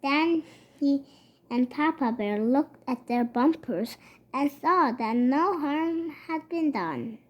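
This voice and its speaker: Brief: very high, childlike voice for a picture-book read-aloud, unhurried and a little halting. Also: expressive, muffled recording. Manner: halting, stumbles over words. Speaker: storybook reader.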